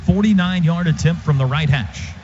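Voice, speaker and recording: A man's voice: on the high side, moderate pace, darkish tone. An American man doing live football play-by-play on the radio, following the action in clipped, vivid phrases. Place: stadium radio booth. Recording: dull, noisy live radio broadcast.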